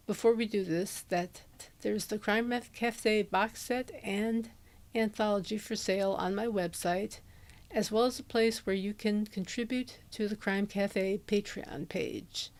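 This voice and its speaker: A woman's voice: medium pitch, vocal fry, darkish tone. A woman introducing a podcast episode as host, speaking into a handheld dynamic microphone. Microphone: handheld dynamic USB microphone.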